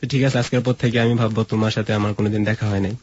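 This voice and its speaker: A man's voice: on the low side, level tone, racing, darkish tone, breathy edge, dull audio. A young man with a colloquial Bangladeshi accent, casually telling his own story as a guest and quoting the conversations in it. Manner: colloquial, acts out remembered dialogue.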